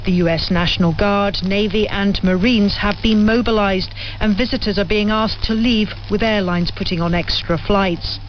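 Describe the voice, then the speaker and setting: A woman correspondent reads a scripted news report at a medium pitch. The audio is muffled, with some faint noise, like a shortwave broadcast. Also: fairly even intonation, normal speed, hardly any pauses. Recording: muffled, lightly noisy shortwave radio relay.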